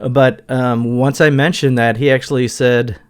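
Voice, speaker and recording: A man's voice: medium pitch, little pitch movement, normal speed, clear, resonant tone. A middle-aged man giving a casual walkthrough as a guitar gear reviewer.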